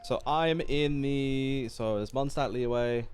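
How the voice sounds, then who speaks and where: A man live-streams a game in a casual, chatty style. His voice sits at a medium pitch and he rambles playfully as he reacts. Faint game music plays behind him. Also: slow pace, darkish tone.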